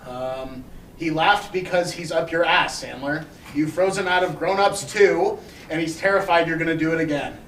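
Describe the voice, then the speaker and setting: A man's voice: fairly high pitch, moderate pace, a bit bright, a little hiss or hum, lightly acted. A man at a comedic table read playing a blunt, defensive stand-up star with a needling, sardonic manner. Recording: echoey room, distant mic, faint music.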